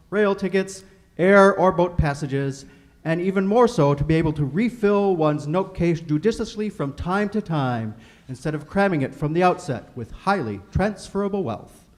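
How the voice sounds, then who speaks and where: A man playing a polished 1940s radio announcer and sponsor pitchman, reading formal, theatrical scripted copy. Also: a little mellow, natural voice.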